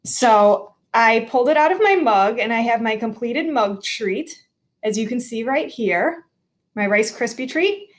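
Bright-voiced teacher lady walking kids thru a mug recipe, cheerful and clear, home kitchen, laptop mic. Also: on the high side, animated, measured pace. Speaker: teacher hosting a kids' cooking video. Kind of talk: step-by-step cooking demo for children.

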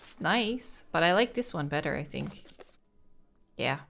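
A woman's voice: sits low, animated, measured pace, vocal fry, a bit bright. Young Dutch-accented woman streaming a game. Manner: chatty, rambling, with frequent "like" and little laughs. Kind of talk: casual let's-play commentary.

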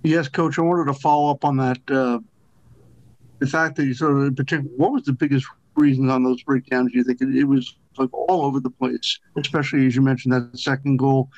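Rambling reporter on dull dry call audio. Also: male voice, mid-range voice, steady tone, fairly quick, a little mellow, no room sound. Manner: rambling, piles up clauses in his questions. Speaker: sports reporter. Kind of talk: press conference questions.

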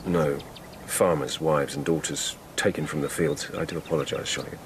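A man's voice: rumbling low, fairly even intonation, normal speed, slight background noise. An actor playing an earnest, probing police lieutenant in a radio drama, courteous and direct in his questioning. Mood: apologetic, intent.

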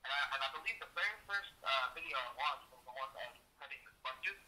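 A man's voice: mid-range voice, fast talker, bright, forceful tone. A young man rambling hesitantly in a casual chat as a customer, heard over a speakerphone. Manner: hesitant, rambling, repeats words. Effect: phone call on speakerphone. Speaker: customer and fan on a phone call. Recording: speakerphone in echoey room, faint noise.

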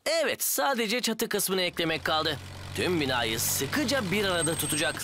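A friendly cartoon builder boss. He directs patiently and encouragingly with very varied pitch, loud music underneath. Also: very animated, normal speed. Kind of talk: animated cartoon dub acting.

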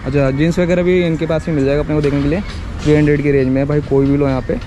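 A man's voice: a little high, little pitch movement, rapid-fire, over music. A young man with an Indian accent gives casual, repetitive vlog commentary for his viewers, recorded on a handheld camera in the street. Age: young adult. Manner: informal, repetitive, explaining to viewers. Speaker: shopping vlogger. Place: outdoor street market and roadside. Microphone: handheld action camera at arm's length. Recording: noisy outdoor street with traffic. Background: street and vehicle noise.

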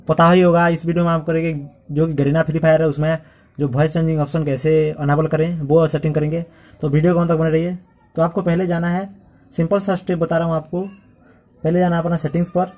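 A man's voice: on the high side, a little mellow. A man narrating a casual step-by-step phone tutorial in a repetitive, instructional manner. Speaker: tech tutorial host.

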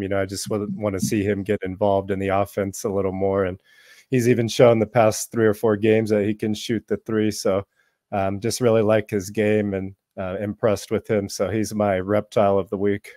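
A man's voice: fairly low pitch, level tone, normal speed, dark tone, dry recording. A man in his thirties giving casual sports analysis as a podcast panelist, close on the mic. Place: indoors at home. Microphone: close podcast mic, headphones.

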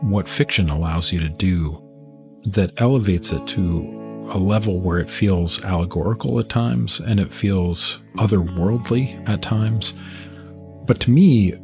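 Male podcast host, deep voice, measured and articulate, thoughtful literary interviewing, guitar music behind.

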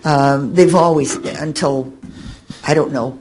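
An older woman recalling things informally on a panel, halting as she searches for her words. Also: low voice, fairly even intonation, a little mellow. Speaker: panelist, fan and researcher of an old radio show. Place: indoor hotel conference room. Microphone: table microphone on stand. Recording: noisy hotel meeting room.

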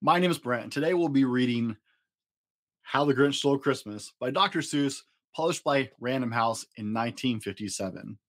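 A man's voice: medium pitch, normal speed, fairly bright tone. A man reads a children's story expressively, with dramatic, playful emphasis. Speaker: dad storyteller, host. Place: small indoor home studio room.

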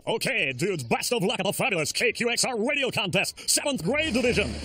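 An actor playing a goofy, over-the-top radio DJ in a cartoon, with brash drawn-out patter. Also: male voice, high voice, sing-song, pushed and bright, a little hiss or hum, full character voice. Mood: hyped. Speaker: radio DJ character.

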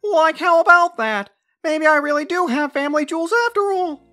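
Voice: high voice, moderate pace, pushed and bright, faint music behind. A performer voicing a lanky, cowardly young slacker guy in a cartoon, whiny and sarcastic, peppering speech with "like". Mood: casual, wry. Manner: whiny, says "like" constantly. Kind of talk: cartoon voice acting.

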